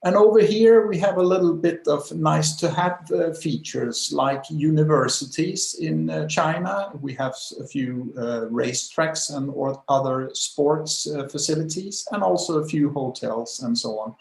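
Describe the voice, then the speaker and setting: Casting: middle-aged man from Denmark, slightly higher voice with gravel, hesitant presenter on a video call. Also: measured pace, few pauses, reverberant. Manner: hesitant, many fillers. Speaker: guest presenter, automotive designer.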